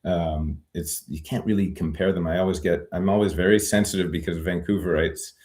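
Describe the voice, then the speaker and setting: Deep-voiced man, reflective and meandering. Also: steady tone, fairly quick, a little mellow. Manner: reflective, many fillers and restarts. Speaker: guest, retired immigration lawyer. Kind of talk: conversational interview.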